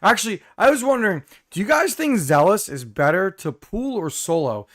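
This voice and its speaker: A hoarse young man hosting a casual live stream, reading viewer comments with many fillers and throat clearing. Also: sits high, very animated, moderate pace, a bit bright.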